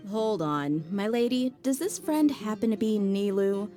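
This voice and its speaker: A confident mercenary bodyguard, voiced by a woman, teases with a wry, laid-back delivery. Her pitch moves lively while the tone stays warm and relaxed. Soft game music plays behind. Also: a little slow, close to an everyday voice. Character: confident female mercenary bodyguard, teasing and protective. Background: game soundtrack music.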